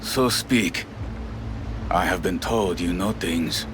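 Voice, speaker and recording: An actor playing a stern, devoted bodyguard in a video game, curt and commanding. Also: male voice, deep voice, takes it slowly, noisy background. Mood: threatening. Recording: loud music behind the dialogue.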